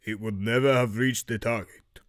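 A man's voice: mid-range voice, fairly even intonation, moderate pace, natural voice. Guy doing a stern academy headmaster for an audio drama, solemn n formal, commanding.

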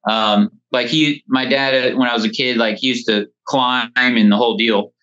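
A man's voice: medium pitch, steady tone, normal speed, pushed and bright. A man in his thirties chatting casually as a podcast guest over the phone, telling stories in a rambling way. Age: 30s. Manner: rambling, frequent "you know". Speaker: podcast guest, lawn care business owner. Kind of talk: casual interview storytelling. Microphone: phone call. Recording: dull, band-limited phone call.

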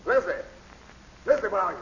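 A man's voice: sits high, animated, fairly quick, creaky, fairly bright tone, husky, full character voice. An actor playing a worried husband calling urgently for his wife, in 1940s radio acting. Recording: dull old radio broadcast.

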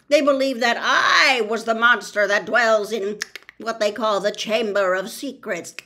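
A woman voicing an aged, blind male giant spider from a storybook, fretful and imperious, declaiming slowly with theatrical emphasis. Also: fairly high pitch, pushed and bright. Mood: agitated. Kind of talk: dramatized storybook reading.

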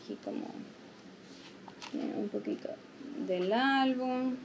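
A woman's voice: sits high, takes it slowly, noisy background. Chatty Venezuelan woman vlogging. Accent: Venezuelan Spanish. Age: late 20s. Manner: chatty, playful, teasing. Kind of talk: casual vlog chat to camera. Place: shop aisles, then a small living room. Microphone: handheld camera at arm's length.

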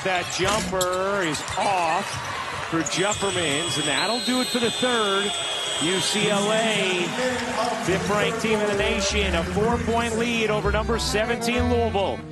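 A man doing live play-by-play for a basketball game, with quick excited calls on big plays. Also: a little high. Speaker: play-by-play commentator. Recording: broadcast booth over loud arena crowd noise. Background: arena crowd and bouncing ball.

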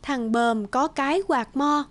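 A woman's voice: high-pitched, unhurried. A woman narrating a fairy tale from a script in storytelling style.

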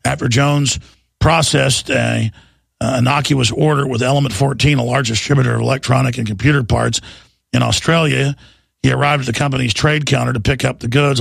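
A middle-aged man hosting a talk radio show, hoarse after an illness, talking in a relaxed, rambling way and repeating words as he reads ads and news. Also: medium pitch, no echo. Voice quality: gravelly, raspy. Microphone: studio microphone.

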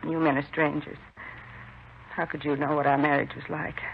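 Gravelly, subdued middle-aged widow. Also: female voice, sits low, flat delivery, normal speed. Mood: wistful, resigned. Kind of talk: 1950s radio drama acting. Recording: muffled, hissy 1950s radio recording.

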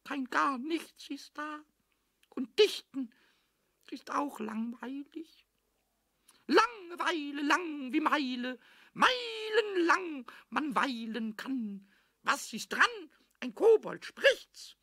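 A man voicing a small, mischievous kobold in a children's radio play, childlike and excitable, chattering to himself in sing-song with a scratchy squeak. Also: takes it slowly, creaky, no echo, studio quality, heavily acted. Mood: bored, sulky.

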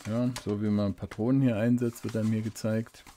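Man, casual desk unboxing reviewer, mellow low-key voice, relaxed and unhurried, thinks aloud. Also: a little hiss or hum. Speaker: fountain pen reviewer. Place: indoors at a desk, hands-only camera. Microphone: studio desk microphone.

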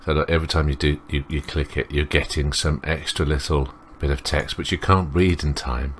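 Very deep-voiced man reading a game aloud. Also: steady tone, normal speed, a little hiss or hum. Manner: rambling, self-interrupting. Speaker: let's play host. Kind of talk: reading a visual novel aloud with off-the-cuff commentary.

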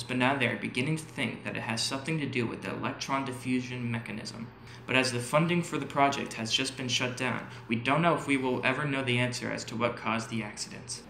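A man's voice: mid-range voice, vocal fry, bright, forceful tone. A man playing a polite NASA employee giving a formal presentation in an audio drama. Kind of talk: scripted presentation. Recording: roomy echo, faint background noise.

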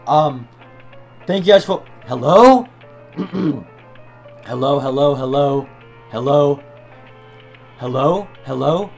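Brief: young male streamer, a bit high-pitched, hoarse, cursing at his desk. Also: lively intonation, takes it slowly, long pauses, soft background music. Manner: swears and shouts in frustration. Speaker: gaming YouTuber. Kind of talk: webcam gameplay commentary. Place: small room at a desk. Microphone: desk mic beside keyboard, wearing headset.

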